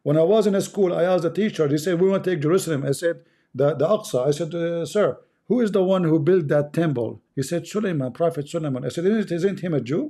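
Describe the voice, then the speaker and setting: A man from the Middle East, an Arabic speaker with an Arabic accent, delivering an impassioned preachy monologue full of rhetorical questions and words slowed down for stress. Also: fairly high pitch, warm tone. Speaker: religious commentator.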